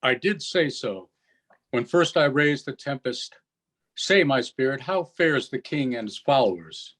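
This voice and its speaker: A man acts out a commanding old sorcerer in a stage play, reading verse at a slow, measured pace. He declaims sternly, with room for mercy, in a mid-pitched voice. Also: expressive, fairly bright tone. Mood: commanding, expectant.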